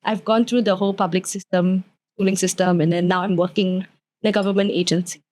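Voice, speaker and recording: A woman's voice: medium pitch, steady tone, a little fast, a little mellow. A woman in her twenties with a Singaporean accent, chatting casually as a talk show guest. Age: 20s. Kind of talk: casual chat.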